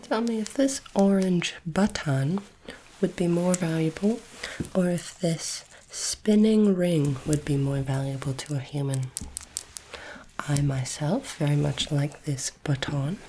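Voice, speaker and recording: A woman playing a polite, curious alien interviewer in an ASMR roleplay, speaking formally and deliberately in a soft, breathy whisper. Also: sits low, slight background noise, low-quality recording. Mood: measured, curious. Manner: slow, deliberate, formal phrasing.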